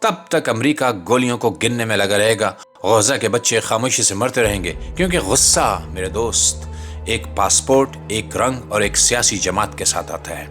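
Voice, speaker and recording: A man's voice: lowish voice, lively intonation, normal speed, a bit bright, soft background music. A man narrating scripted political commentary as a channel host, in a rhetorical, sardonic and declamatory way.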